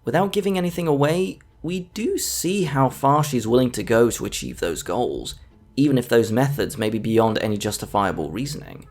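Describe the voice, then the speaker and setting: A man's voice: medium pitch, sing-song, moderate pace, darkish tone. A man narrating a scripted video essay as a film reviewer. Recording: music under the voice. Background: background music.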